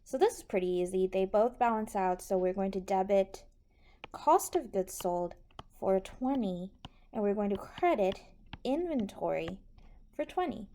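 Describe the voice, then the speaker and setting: Woman, slow pace, soft mellow tone, patient tutorial explanation, noisy recording with clicks. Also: medium pitch, expressive. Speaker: accounting instructor.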